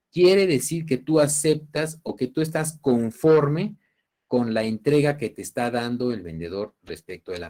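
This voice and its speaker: A man's voice: mid-range voice, darkish tone. A man with a Peruvian Spanish accent lecturing an online university class, explaining cases step by step and often checking that students follow. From Peru. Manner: didactic, checking understanding with tag questions.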